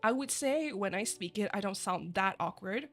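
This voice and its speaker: A woman whose first language is Chinese, chatting casually with her viewers on a live stream, bubbly and quick to giggle. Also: medium pitch, expressive, a bit bright, faint music behind. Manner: bubbly and giggly, laughs often; chatty, rambling asides.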